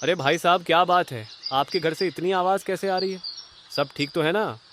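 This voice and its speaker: A man's voice: high voice, normal speed, fairly bright tone. A man playing a concerned, nosy cartoon neighbour, emphatic and inquisitive, with noise on the recording. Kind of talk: Hindi cartoon story voice acting.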